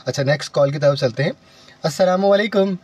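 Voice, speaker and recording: A man's voice: fairly high pitch, quick pace, a little mellow, husky. A man with a Pakistani accent hosting a live morning call-in radio show in a chatty, courteous manner. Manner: chatty, courteous, repeats advice. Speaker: radio host.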